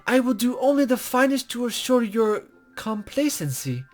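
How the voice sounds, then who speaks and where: A man's voice: very high voice, very animated, moderate pace, soft background music, voice pushed up. A man voicing a mysterious, courteous lady guide in a visual novel game, with soothing, formal, flowery speech. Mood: gentle, gracious.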